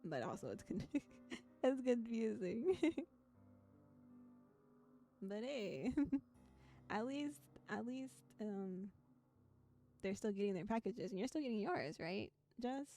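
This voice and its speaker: A young woman chats softly in a quiet but clear voice, her tone mellow and warm. She giggles often between thoughts, with faint music playing behind her. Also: on the high side, expressive, slow pace, pauses often. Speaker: streamer. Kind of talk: casual chat and reading a visual novel aloud.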